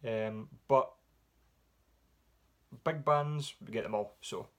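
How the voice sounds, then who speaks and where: A man with a Scottish accent answering viewer questions in a relaxed, rambling way with frequent pauses and fillers. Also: mid-range voice. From Scotland. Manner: relaxed, rambling with many ums. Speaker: music vlogger. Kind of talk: casual Q&A vlog.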